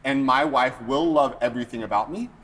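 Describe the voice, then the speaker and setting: An American man hosting a casual podcast chat, animated and joking, with lots of fillers. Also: medium pitch, fairly quick. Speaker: podcast host.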